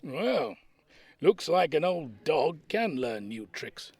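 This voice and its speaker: An actor playing a brisk, self-important retired soldier in an audio comedy, with a husky, emphatic delivery. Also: male voice, fairly high pitch, big pitch swings, darkish tone, exaggerated voice. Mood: confident. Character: elderly ex-army man, self-important, brisk, grumbling planner. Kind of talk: audio comedy drama acting.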